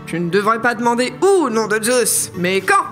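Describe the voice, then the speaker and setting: An actress playing an eager, cheeky adventurer with a know-it-all manner in a comic audio drama. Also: female voice, a little high, sing-song, normal speed. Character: eager, cheeky female time-travel adventurer. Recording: music behind the voice.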